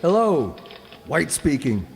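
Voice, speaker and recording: A man playing an excitable, gruff newspaper managing editor in old-time radio style, barking incredulous exclamations. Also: fairly high pitch, slow, in a large hall, faint music behind, heavily acted. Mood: agitated, incredulous.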